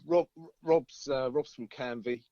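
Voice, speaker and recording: A man chatting informally as an interviewed musician, halting and circling back over his phrases. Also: mid-range voice, steady tone, muffled recording, a crowd in the background. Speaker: band singer-guitarist being interviewed. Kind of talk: casual interview chat.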